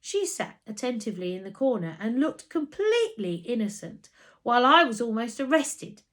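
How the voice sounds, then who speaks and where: A woman reading a children's story aloud as an expressive narrator. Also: on the high side, normal speed.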